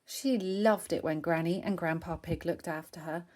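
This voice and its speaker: A woman reading a children's story aloud, with expressive narration phrased for young listeners. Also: fairly low pitch, normal speed. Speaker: storybook reader.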